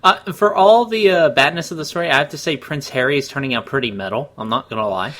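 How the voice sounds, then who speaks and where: A man's voice: medium pitch, quick pace, a bit bright. A man giving casual, amused comic commentary as co-host of a story reading, riffing as it goes.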